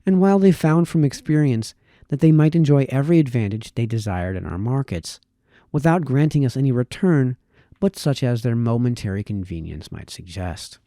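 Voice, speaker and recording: Male reader for formal essays: soft-edged, mellow tone, deliberate phrasing, close dry recording. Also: medium pitch, animated, no room sound. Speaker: reader, host of a reading series. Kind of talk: reading aloud formal historical essays.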